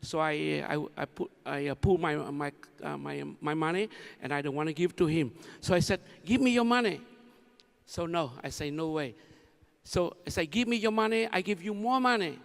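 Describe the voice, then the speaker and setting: Male, 70, Vietnamese accent. Halting informal speaker, creaky voice, reverberant conference hall. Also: on the high side. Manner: halting, repetitive phrasing. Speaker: conference speaker, new board member. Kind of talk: informal speech with personal anecdotes. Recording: echoey large hall sound.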